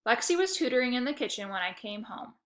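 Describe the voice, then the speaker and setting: A woman reading a children's chapter book aloud in a lively storytelling manner. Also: a little high, quick pace. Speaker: reader.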